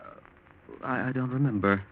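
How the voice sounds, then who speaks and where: A man's voice: medium pitch, fairly even intonation, measured pace, natural voice. A male actor playing a spineless, nervous rich young man in a 1940s radio drama, faltering and stammering. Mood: nervous, evasive. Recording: dull old 1940s radio recording.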